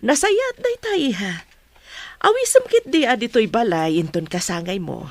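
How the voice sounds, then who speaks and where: A woman's voice: on the high side, sing-song, measured pace, no echo. A woman playing an approving, chatty mother with an Ilocano accent in a radio drama. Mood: cheerful, approving.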